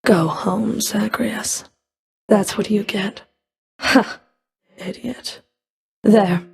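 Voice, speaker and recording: A woman playing a menacing, contemptuous fury of the underworld in a video game, with a husky, breathy voice and a deliberate, threatening delivery. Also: mid-range voice, steady tone, heavily acted. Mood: scornful dismissal. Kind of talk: video game combat voice lines.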